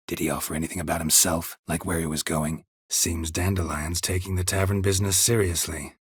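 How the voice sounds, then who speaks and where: A man voicing a gruff, stoic, dry-witted monster hunter in a video game, in a husky rasp with a terse, deadpan manner. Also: deep voice, full character voice. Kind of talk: video game character dialogue.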